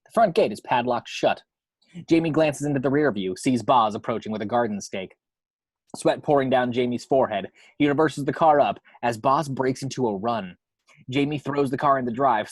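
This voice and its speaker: A man's voice: mid-range voice, a little fast, breathy edge, no echo. A man narrating stage directions from a script at an amateur table read.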